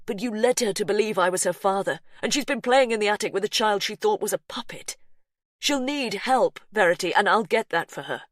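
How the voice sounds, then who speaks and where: A woman's voice: medium pitch, a little fast, dry recording, clean studio sound, voice pushed up, lightly acted. A woman reading the lines of an anguished man pleading with his family, in an earnest, urgent manner.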